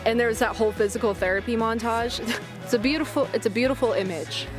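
A woman's voice: on the high side, fast, reverberant, music in the background. A woman, talking candidly and wryly in a casual personal update vlog. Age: 20s. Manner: candid, wry, self-deprecating. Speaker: vlogger.

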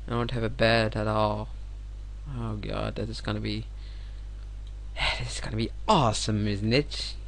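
A man's voice: on the low side, fairly even intonation, measured pace, creaky, a little hiss or hum. A young man with a Dutch accent giving goofy, casual commentary over a game, talking to himself and counting aloud. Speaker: Let's Play gamer.

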